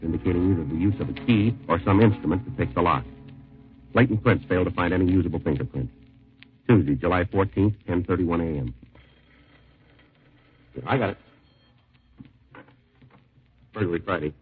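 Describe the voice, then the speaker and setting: Man acting a clipped, low-voiced police sergeant. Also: close to an everyday voice. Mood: terse, businesslike. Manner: clipped, deadpan, matter-of-fact. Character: terse, businesslike male police sergeant detective narrating his case. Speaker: detective and narrator. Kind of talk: 1950s radio crime drama acting and narration. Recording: tinny old radio recording, music behind.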